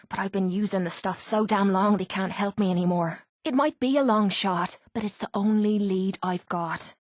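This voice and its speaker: A woman's voice: medium pitch, no room sound, clean studio sound, natural voice. A woman playing a brash, foul-mouthed young Irish brawler in a video game, blunt and sardonic but vulnerable underneath, with an Irish accent. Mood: scared, confessing. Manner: blunt, gruff, sardonic, informal. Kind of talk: video game companion voice lines.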